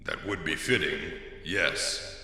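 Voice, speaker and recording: A man's voice: sits low, slow, pushed and bright, faint music behind, heavily acted. A man playing a skeletal pony of death, weary, formal and pedantic, with an echo effect, in a fan audio drama. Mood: resigned, matter-of-fact. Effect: heavy echo reverb. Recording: very echoey with long reverb.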